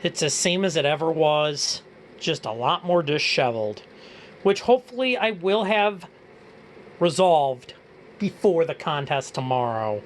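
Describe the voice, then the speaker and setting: A man's voice: on the high side, lively intonation, unhurried, slight background noise. A man with an American accent casually presenting a hobby vlog, walking viewers through equipment. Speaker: amateur radio hobbyist presenter.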